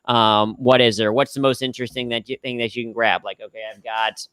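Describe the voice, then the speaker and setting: Slightly nasal man, smooth and dry. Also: medium pitch, level tone, brisk, a bit bright, no echo. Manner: upbeat, explanatory, self-deprecating. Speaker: guest, humor trainer. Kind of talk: conversational interview answers.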